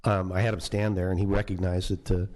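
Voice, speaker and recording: A man's voice: low voice, flat delivery, fast, darkish tone. A man on a city council, asking a rambling question with many fillers. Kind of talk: questioning at public meeting. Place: council chamber.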